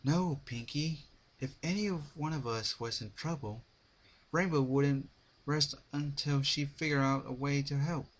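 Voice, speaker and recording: A man's voice: fairly high pitch, unhurried, low-quality recording. A man voicing an exhausted, bossy female magic pony in a dramatic story reading. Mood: weary. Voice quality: tired, slurred.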